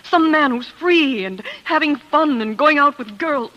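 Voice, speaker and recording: An actress playing a devoted, desperate young wife in a 1940s radio drama, pleading with breathless urgency. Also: female voice, sits high, animated, unhurried. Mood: urgent, determined. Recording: dull, lo-fi old radio recording.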